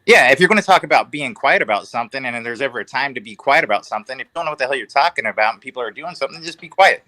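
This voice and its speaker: Bright, opinionated man, close dry sound. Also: medium pitch, expressive, very fast, no echo, low-quality recording. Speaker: panelist. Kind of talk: casual panel discussion.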